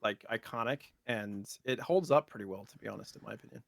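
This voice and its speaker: A man's voice: on the low side, fast talker, creaky, dry recording. A man with an American accent in casual conversation, co-hosting a gaming podcast. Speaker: co-host of a retro gaming podcast. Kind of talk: casual chat about video games.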